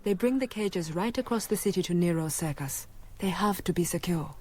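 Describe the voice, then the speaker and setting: A woman's voice: on the low side, moderate pace, warm tone, close to an everyday voice. An actress playing a worldly female snake dancer in a radio drama, dry, teasing and matter-of-fact. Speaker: circus performer.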